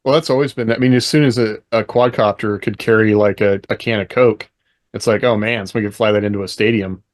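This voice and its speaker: A man with a fairly low voice talks as a podcast co-host in a casual discussion. The sound is very dry, and he speaks informally, working out his thoughts as he goes. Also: fairly even intonation, brisk, dry recording.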